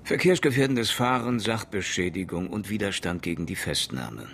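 An actor playing a tough police detective in a radio crime drama, speaking in a dry, blunt manner. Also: male voice, sits low, animated, normal speed, vocal fry, a bit bright, a little hiss or hum, exaggerated voice.

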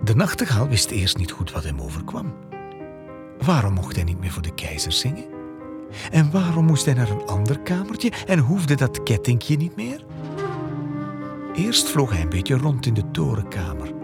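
A man narrating a scripted fairy tale as an expressive storyteller. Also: mid-range voice, moderate pace, music in the background.

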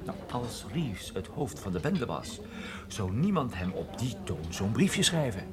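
A cool private detective from a radio play. His voice sits on the low side, and his delivery is wry and deadpan, as if nothing could impress him. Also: measured pace, crowd noise. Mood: matter-of-fact. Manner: dry, deadpan. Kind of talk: radio drama acting with first-person narration.

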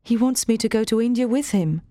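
A woman's voice: a little high, a little fast, warm tone, dry recording, studio quality, voice pushed up, close to an everyday voice. A woman voicing an earnest, troubled, plain-spoken young woman in an audiobook story. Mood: miserable, earnest.

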